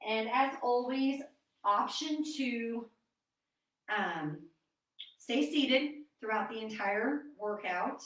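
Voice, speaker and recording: A woman fitness instructor leading a seated online exercise class, chatty with fillers, then giving calm breathing cues. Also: on the high side, slow pace. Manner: chatty and apologetic, with many fillers. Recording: roomy echo, distant from mic.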